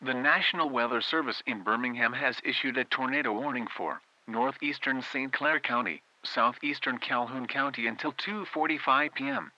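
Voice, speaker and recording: A man's voice: medium pitch, normal speed, few pauses, pushed and bright, muffled recording. A man reading a scripted emergency weather warning as an announcer, in a formal, clipped manner. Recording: hissy, noisy broadcast audio.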